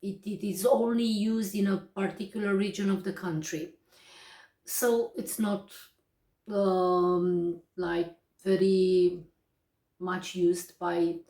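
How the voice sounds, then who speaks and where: A young woman with a Romanian accent explains English grammar at an unhurried pace. She is a native Romanian speaker who pauses often and picks her words with care. She sounds set back from the mic in an echoey room. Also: medium pitch, a little mellow, far from the mic. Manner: slow and deliberate, with frequent pauses and hesitations. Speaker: English teacher.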